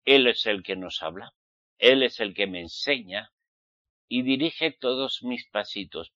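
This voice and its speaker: A man's voice: on the low side, a bit bright, no echo, dull audio. A man from Spain with a Spanish accent, preaching in an emphatic, admonishing way and putting rhetorical questions to his listeners. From Spain, Basque Country. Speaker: religious preacher. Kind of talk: fiery sermon addressed to listeners.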